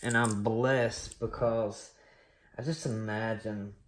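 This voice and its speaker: A man in his late thirties rambles through a personal story for his coin collecting vlog. He talks slowly and loosely, with lots of pauses and fillers. His voice echoes around a small room. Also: fairly low pitch, fairly even intonation. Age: late 30s. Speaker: coin collecting channel host. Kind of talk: casual personal storytelling while unboxing.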